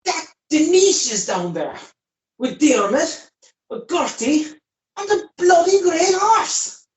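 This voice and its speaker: A woman's voice: extremely high pitch, big pitch swings, slow pace, a little mellow, slight background noise, full character voice. A woman playing a hapless, flustered man in a radio play, hesitant and stammering. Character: flustered, hapless man caught with his lover.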